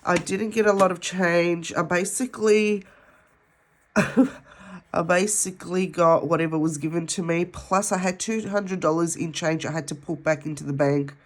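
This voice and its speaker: Australian woman, congested nasal voice, low-pitched, unhurried rambling chat. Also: steady tone. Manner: rambling, chatty, with many ums. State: nasal from a head cold. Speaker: budgeting vlogger. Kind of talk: casual chat while counting cash.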